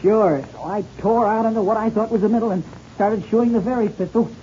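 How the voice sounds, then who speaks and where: A dull old radio recording with faint noise carries a male actor playing a plucky American boy aviator. The youthful voice is mellow and eager, delivered in classic 1930s serial fashion. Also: sits high, fast talker, lightly acted.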